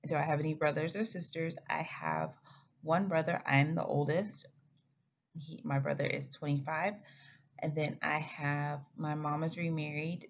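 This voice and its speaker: A woman's voice: sits low, creaky, darkish tone. A woman in her thirties from Oklahoma, chatting casually to camera as a flight attendant vlogger, rambling and often correcting herself mid-sentence. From Oklahoma, United States. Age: 30s. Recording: slightly muffled with faint background hiss.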